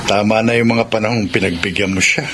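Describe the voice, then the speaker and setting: A man's voice: on the low side, steady tone, fairly quick, close to an everyday voice. A Filipino man playing a frank, encouraging older confidant who prods and advises, in a radio drama. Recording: very noisy radio drama recording.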